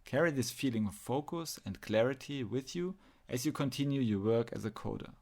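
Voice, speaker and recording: A man with a mid-pitched voice and noticeable vocal fry leads a guided meditation. He gives instructions in measured phrases and leaves pauses between them. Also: lively intonation, a little mellow.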